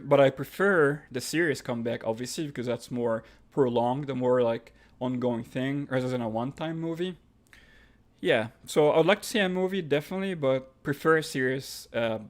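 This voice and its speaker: Egyptian guy answering viewer questions on a stream, reads them out then rambles a bit, mid-pitched voice. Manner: reads questions aloud then answers offhand, with fillers and restarts. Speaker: host of a live Q&A stream.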